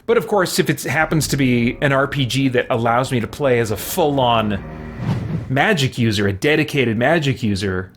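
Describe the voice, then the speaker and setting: A man's voice: mid-range voice, lively intonation, normal speed, fairly bright tone. A man giving casual, rambling live commentary as a game streamer. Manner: rambling, conversational. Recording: music behind the voice. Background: game music.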